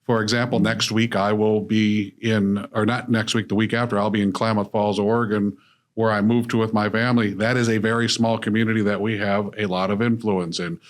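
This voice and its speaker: Middle-aged guy from illinois, author and coach, lowish voice, steady and reflective. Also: brisk. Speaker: guest, author and business coach. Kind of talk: conversational interview.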